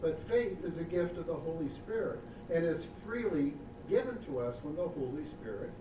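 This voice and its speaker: Brief: male pastor, somewhat high voice, mid-sermon, picked up from a distance in a reverberant church with audible noise. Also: lively intonation, moderate pace. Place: church sanctuary. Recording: echoey church recording from far off with background noise.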